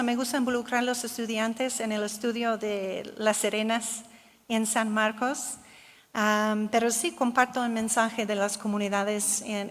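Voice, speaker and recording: A woman giving a keynote talk, answering audience questions in careful Spanish that is not her first language, with restarts. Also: on the high side. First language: English. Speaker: keynote speaker. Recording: long hall reverb.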